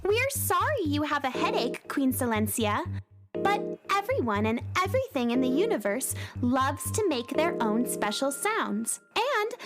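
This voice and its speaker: A woman playing a kind, earnest and plucky space cowgirl in a children's audio drama. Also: high voice. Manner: earnest, polite.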